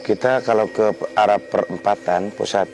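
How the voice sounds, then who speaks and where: A man acting as local guide, explaining local lore in a measured, polite way outdoors at night. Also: fairly low pitch, creaky, darkish tone, soft background music.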